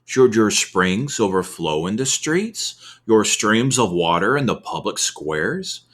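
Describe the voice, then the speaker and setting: A man reading scripture aloud as ASMR, with measured, deliberate phrasing and expressive emphasis. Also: lowish voice. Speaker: reader of scripture.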